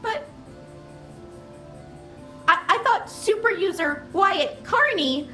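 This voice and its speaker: A woman playing a slow, high-voiced AI computer. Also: faint music behind, exaggerated voice. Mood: deadpan. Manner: slow, flat, oddly literal delivery. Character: slow, dreamy female AI computer. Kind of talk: comedic audio drama acting.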